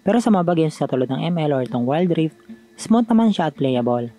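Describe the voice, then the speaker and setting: A man's voice: on the high side, expressive, a little fast, mellow, faint music behind. A man with a Filipino accent giving a conversational, scripted voice-over as a tech review vlogger.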